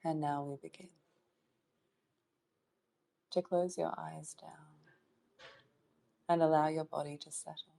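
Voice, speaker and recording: Low, very slow woman guiding meditation. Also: creaky, mellow, low-quality recording. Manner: slow, soothing delivery with long pauses and short phrases. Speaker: meditation guide. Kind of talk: guided meditation, hypnotic trance induction.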